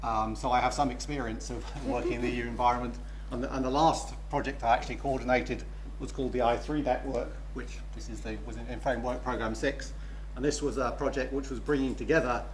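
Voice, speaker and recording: A man's voice: mid-range voice, fairly even intonation, fast talker, slight background noise. British man presenting with many ums. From Britain. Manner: self-deprecating, many "um" fillers. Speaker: conference speaker, research coordinator.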